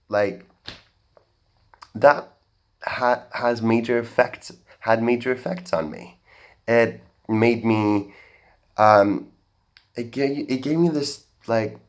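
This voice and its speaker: Young man, American-sounding accent, earnest stage talk, halting restarts, slow pace. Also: on the low side. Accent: general American. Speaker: speaker at a talk. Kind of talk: public talk, personal story. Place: auditorium stage.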